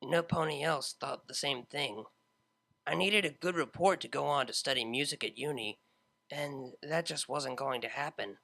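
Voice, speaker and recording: A man's voice: mid-range voice, moderate pace, creaky, sharp tone. A man voicing a laid-back young female unicorn DJ, talking in a casual, slangy, self-mocking way. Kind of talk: fan audiobook character voice.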